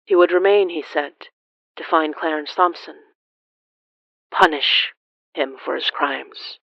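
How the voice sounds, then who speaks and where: A horror storyteller delivers scripted narration in a mid-pitched voice. The delivery is solemn and deliberate, broken by dramatic pauses. The recording sounds somewhat dull and closed-in. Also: creaky, no echo. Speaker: narrator of a horror fiction podcast.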